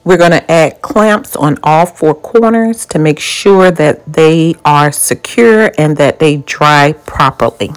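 A woman's voice: sits low, animated, takes it slowly, hardly any pauses, smooth, soft background music, rough recording. A woman narrating a home do-it-yourself project over video, explaining each step plainly with occasional ums. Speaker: home DIY vlogger.

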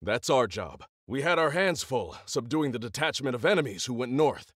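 An actor voicing a dutiful, middle-aged army general in a video game, with formal military phrasing. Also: male voice, mid-range voice, expressive, normal speed, fairly bright tone, husky, no echo, clean studio sound. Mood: formal, grave. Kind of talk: English video game voice acting.

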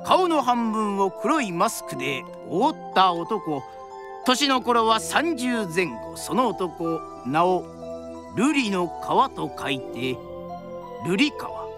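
A woman's voice: medium pitch, very animated, slow pace, music in the background. A woman narrating a drama from a script in a theatrical, traditional storyteller's style.

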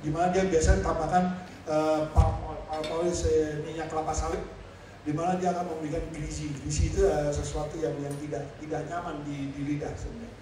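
A man interpreting a chef's demonstration for a live audience, with frequent fillers and restarts. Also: a little high. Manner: quick, flat delivery with many fillers and restarts. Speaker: interpreter and emcee. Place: large hall with a stage and audience. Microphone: handheld mic. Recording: very echoey hall, heard from a distance, with audible room noise.

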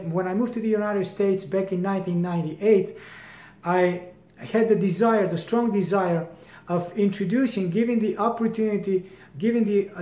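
A Bulgarian-accented man in his fifties talks to camera with a fairly high voice, earnest and often restarting his phrases as he gestures broadly. The sound is roomy, picked up by a camera at desk distance in a home hallway. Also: dark tone. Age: 50s. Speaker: brand founder. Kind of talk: direct-to-camera promotional talk. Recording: echoey room.

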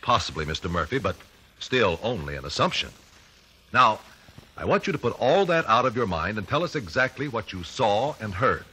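An actor playing a methodical, confident trial lawyer in an old-time radio drama, American, questioning formally and precisely. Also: male voice, fairly low pitch, very animated, normal speed, bright, forceful tone, full character voice, low-quality recording. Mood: insistent. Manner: precise, formal cross-examining. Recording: raspy vintage 1950s radio recording.

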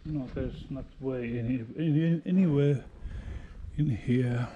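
A man in his thirties giving halting, casual off-the-cuff commentary in short muttered remarks as he explores. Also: medium pitch, warm tone, lo-fi sound. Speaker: urban explorer vlogger. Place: abandoned factory grounds, outdoors and in derelict rooms. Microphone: handheld action camera at arm's length. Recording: noisy handheld action camera audio.

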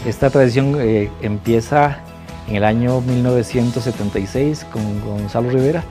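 A middle-aged Costa Rican man speaks informally in an interview. His pitch is average for a man, and music plays clearly behind him. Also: normal speed. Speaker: restaurant staff interviewee. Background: background music.

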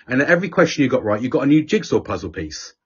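A middle-aged man with a husky voice, telling a story in casual, sweary podcast chat and acting out the people he quotes. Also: mid-range voice, big pitch swings, fast talker. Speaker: podcast host. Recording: dull lo-fi podcast audio.

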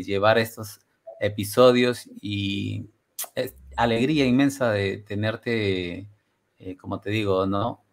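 A middle-aged man hosts a relaxed interview from his home studio, speaking through a headset. His voice sits low with a gravelly edge. His Spanish carries a Portuguese tinge and he talks in a thoughtful way. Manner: warm, reflective, slips into Portuguese-flavored Spanish. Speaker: podcast host. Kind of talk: casual video-call interview.

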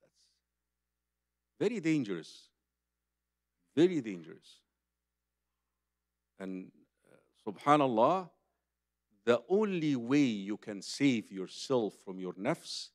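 A man's voice: medium pitch, no echo, clean studio sound. Casting: older male Islamic preacher, Egyptian accent, native Arabic speaker, conversational and emphatic, repeats key phrases, speaks into a stand mic. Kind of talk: conference religious lecture. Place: conference hall stage, seated at table. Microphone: microphone on a boom stand.

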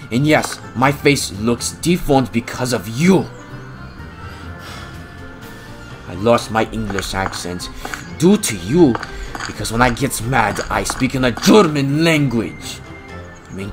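A man performs an indignant, sarcastic cartoon man. He talks slowly and emphatically, with halts and big swings in pitch. Music runs clearly underneath. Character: aggrieved, sarcastic man confronting his tormentor.

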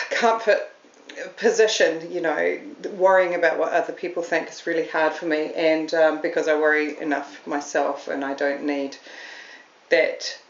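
A woman's voice: sits low, steady tone, unhurried. Woman, New Zealand accent, middle-aged, chatty and self-deprecating, echoey room. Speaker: podcast host. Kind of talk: casual solo talk to camera. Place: room at home. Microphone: camera on a stand. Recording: roomy, slightly dull home recording.

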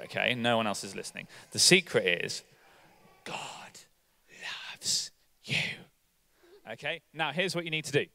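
A man in his forties giving an energetic all-age church talk on a hall stage, coaxing volunteers into a game. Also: mid-range voice. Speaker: church leader. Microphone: handheld mic.

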